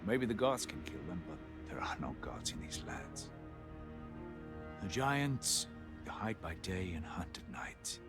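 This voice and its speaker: An actor playing a grave, knowing older Norse guide in a video game, speaking in a solemn, measured way. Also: male voice, sits low, very animated, over loud music, exaggerated voice. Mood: solemn, ominous. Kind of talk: dramatic video game acting.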